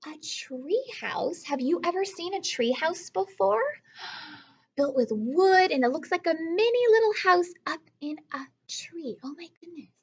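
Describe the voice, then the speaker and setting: A woman's voice: very high-pitched, animated, a little slow. A woman teacher leading a read-aloud lesson for young children, speaking expressively, asking questions and explaining things simply.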